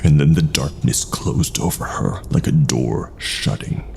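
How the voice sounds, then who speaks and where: A man narrating a scripted horror story in a dramatic, suspenseful way with drawn-out pauses. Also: a bass voice, gravelly, dark tone, a little hiss or hum.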